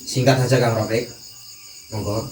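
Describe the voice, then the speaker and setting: A man's voice: medium pitch, fairly even intonation, moderate pace, darkish tone, soft background music. A man with a Javanese accent hosting and moderating an informal discussion. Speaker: host, moderator. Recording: distant echoey recording, faint insect noise.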